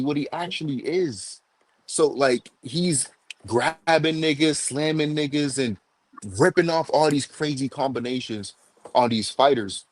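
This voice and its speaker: A man in his late twenties co-hosting a casual boxing chat, agreeing often and laying out his points step by step. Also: on the high side. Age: 20s. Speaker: podcast co-host.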